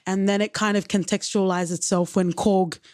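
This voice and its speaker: A woman's voice: medium pitch, fairly even intonation, moderate pace, a little mellow, dry recording, clean studio sound. A woman co-hosting a podcast, talking earnestly and openly about her feelings with some wry humor. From Australia. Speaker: podcast co-host. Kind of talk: reflective casual chat.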